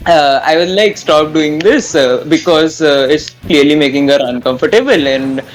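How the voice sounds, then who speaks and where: Higher-voiced young Indian-accented man, halting storyteller. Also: soft background music, rough recording. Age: young adult. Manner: halting, self-deprecating. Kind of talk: casual rambling personal storytelling.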